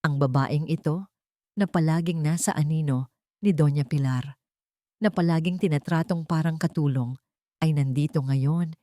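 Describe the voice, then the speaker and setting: A woman with a Filipino accent narrates a scripted audiobook story. Her voice sits a little low, and the sound is dry with hardly any room in it. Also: moderate pace, mellow, no echo.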